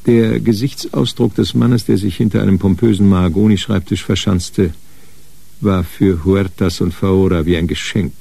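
A man narrating a crime radio drama in a measured, dry storytelling manner. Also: sits low, fairly even intonation, gravelly, dark tone. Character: sober male narrator of a detective story.

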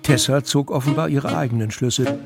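A man's voice: medium pitch, moderate pace, creaky. A man narrating a scripted audio drama. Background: music.

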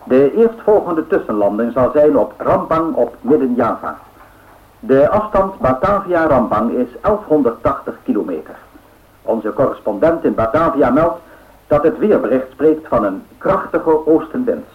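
Male radio-play newsreader, played by an actor: formal, measured 1930s bulletin delivery, medium pitch, narrow phone-like old radio sound. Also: big pitch swings, close to an everyday voice. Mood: formal, factual. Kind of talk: scripted radio news bulletin.

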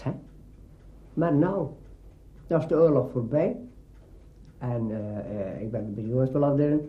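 An older man reminiscing in spontaneous, halting but emphatic anecdotes during an interview. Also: on the high side. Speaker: interviewee, veteran radio drama maker. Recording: dull, roomy archive recording.

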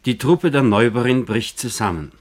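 A man's voice: medium pitch, expressive, normal speed. A man giving scripted biographical narration for 1950s German radio, with emphatic, rhetorical phrasing. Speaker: commentator-narrator of a literary radio programme.

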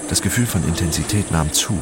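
A man's voice: deep voice, brisk, very noisy, natural voice. A man playing a detached, brooding narrator who tells his own story in a matter-of-fact literary radio drama style. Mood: detached, intent. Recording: music bed behind the voice.

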